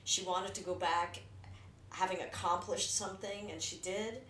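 A woman's voice: on the low side, fairly even intonation, moderate pace, fairly bright tone. An American woman from New Jersey talking candidly and reflectively about herself in casual conversation. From New Jersey, United States. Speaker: filmmaker. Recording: roomy echo, distant mic, faint noise.

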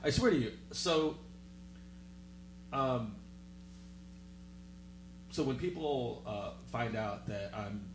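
A man from Vietnam in casual chat, telling stories in an animated way, his voice leaping up when he is exasperated. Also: takes it slowly, long pauses. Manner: animated storyteller, voice jumps high when exasperated. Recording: roomy with clear background hum.

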